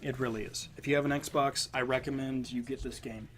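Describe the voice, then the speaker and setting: A man's voice: mid-range voice, steady tone, fairly quick, a little hiss or hum. A stuffed-up man casually rambling through a voice-over game review, with many ums and restarts. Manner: rambling, lots of fillers. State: stuffed up, congested. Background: game footage audio and music.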